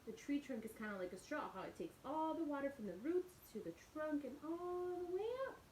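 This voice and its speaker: High-voiced woman reading to children. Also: a little fast, poor audio. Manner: expressive, explains simply to children. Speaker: teacher reading a picture book to children. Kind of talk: read-aloud storytelling with asides to young listeners.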